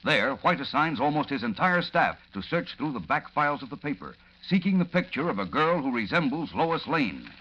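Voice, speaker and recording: A man's voice: medium pitch, sing-song, normal speed, hardly any pauses, bright, forceful tone, breathy edge, heavily acted. An actor narrating as an urgent, dramatic male announcer in declamatory 1940s radio serial style. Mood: urgent, suspenseful. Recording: dull, faintly noisy old radio recording.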